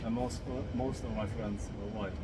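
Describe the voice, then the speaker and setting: A man's voice: lowish voice, fairly even intonation, moderate pace, heavy background noise. A young man from Sweden answering interview questions hesitantly, with many fillers. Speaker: guest. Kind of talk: casual interview. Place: outdoor festival. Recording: distant voice, loud music behind.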